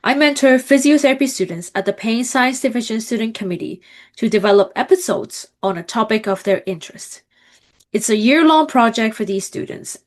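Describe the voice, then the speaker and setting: A woman hosts a podcast and reads its scripted introduction. Her voice sits at an average pitch for a woman. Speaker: podcast host.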